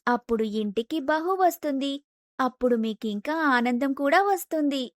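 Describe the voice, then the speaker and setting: Woman voicing young North Indian daughter-in-law, sweet, meddling, high pitch, Hindi words mixed in, dry recording. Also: lively intonation, moderate pace, no echo. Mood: coaxing. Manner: mixes Hindi words into speech.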